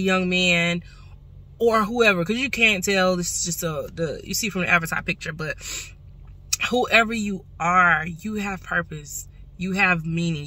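Bright-voiced young woman vlogging. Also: fairly low pitch. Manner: conversational, chatty, folksy. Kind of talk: casual vlog chat to camera. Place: inside a parked car. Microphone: phone at arm's length.

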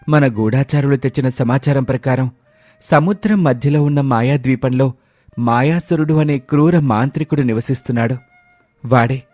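A man voicing a cautious, loyal royal minister who advises in a measured way, in a storybook tale. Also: medium pitch, a little mellow, dry recording, lightly acted. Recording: dry, faint music behind.

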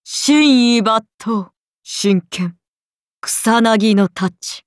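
A woman's voice: fairly high pitch, extremely slow, no room sound, clean studio sound. A woman voicing a solemn, menacing serpent goddess in a game, declaiming grand, archaic incantations.

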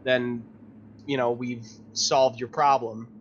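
A man's voice: mid-range voice, flat delivery, takes it slowly, fairly bright tone. A man chatting casually as a player in a tabletop roleplaying game. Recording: dull audio, faint noise.

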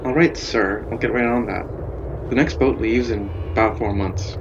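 Radio play: exasperated male pilot actor, deadpan and sarcastic, muffled recording with prominent background noise. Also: fairly low pitch, normal speed, close to an everyday voice. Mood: dry, fed up. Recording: dull lo-fi sound, loud vehicle-like ambience.

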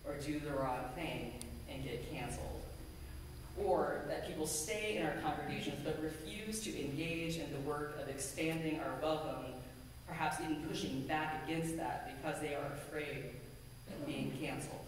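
A woman minister giving a measured, earnest sermon from a pulpit in a church sanctuary, with a pulpit microphone and a distant camera. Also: sits low, slight background noise. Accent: American. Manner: measured, deliberate, earnest preaching with emphatic lists. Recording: echoey sanctuary from far off.

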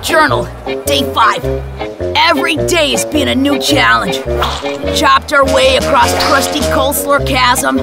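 Casting: cartoon pizza slice, reckless and melodramatic, creaky, slow, grand delivery. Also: high-pitched, loud music behind the voice. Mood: grandly dramatic. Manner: melodramatic, exclamatory, over-excited. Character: excitable, reckless pizza-slice mountaineer, cheerfully dim adventurer. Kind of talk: cartoon character acting.

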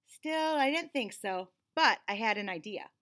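A woman in her forties giving an expressive, dramatized storybook read-aloud for children in a small room. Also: medium pitch, measured pace. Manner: animated, clearly dramatized for children.

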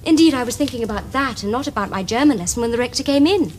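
An actress as a young upper-class English girl in a period comedy. She is sweetly artful and earnest, with a fairly high voice that rises and falls freely and crisp enunciation. The recording carries some background noise. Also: expressive, fast talker, lightly acted. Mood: innocently scheming. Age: young adult. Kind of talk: period comedy screen acting.

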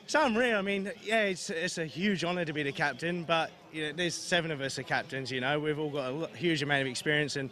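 Hoarse man, team captain, elated, outdoor stadium, bright voice. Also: fairly high pitch, quick pace, few pauses.

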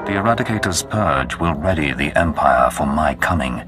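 An extremely low-voiced dark lord from a sci-fi video game. He is imperious and menacing, speaking in deliberate, commanding pronouncements over clearly audible music. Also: animated. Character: menacing, imperious male Sith lord seeking to rule. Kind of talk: dramatic video game voice acting.

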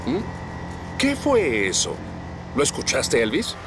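An actor playing a reassuring, commanding firefighter hero in a cartoon dubbed in Latin American Spanish. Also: male voice, mid-range voice, huge pitch swings, slow. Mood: composed. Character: heroic, reassuring adult male cartoon firefighter. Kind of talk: children's cartoon dub.

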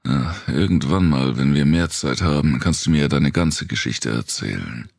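A man voicing a guarded, skeptical male survivor in a dark audiobook. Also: rumbling low, normal speed, vocal fry, slightly rough, no room sound, studio quality, exaggerated voice. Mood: reluctant, honest. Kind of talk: audiobook character dialogue.

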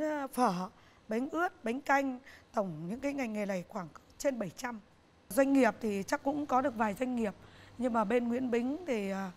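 Earnest middle-aged woman, studio interview. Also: fairly high pitch. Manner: earnest, repeats phrases, halting restarts. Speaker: business owner, guest. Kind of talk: TV talk show interview. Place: TV studio set, indoors.

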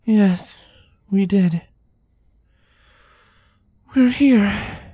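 A woman voicing a weary, caring adult mare pony in a dramatised audiobook, speaking in a measured, earnest way. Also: mid-range voice, mellow, breathy edge, dry recording. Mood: relieved. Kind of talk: dramatised audiobook character acting.

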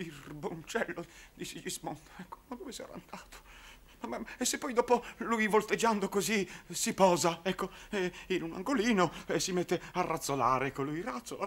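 Flustered, bright-voiced fretful uncle. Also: male voice, high voice, exaggerated voice. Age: middle-aged. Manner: dithering, repetitive, halting, theatrical. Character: flustered, fretful, blustering middle-aged circus uncle. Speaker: actor in a television series. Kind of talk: 1960s Italian TV drama acting. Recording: vintage 1960s television soundtrack.

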